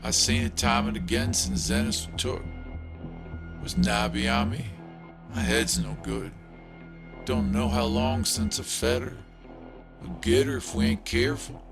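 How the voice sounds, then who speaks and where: Delirious, decaying old country farmer, rural American, for audio drama. Bottomless, labored rasp, slow and halting, haunted. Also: male voice, rumbling low, wildly swooping pitch, over music, full character voice. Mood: despairing dread. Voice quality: gravelly, crackling, broken rasp. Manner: halting, rambling, labored fragments.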